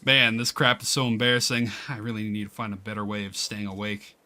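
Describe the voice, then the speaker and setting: A man voicing a sleepy, embarrassed high school boy who narrates a visual novel in a hesitant, self-conscious way. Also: flat delivery, a little fast, sharp tone. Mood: subdued, embarrassed. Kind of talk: visual novel read-aloud.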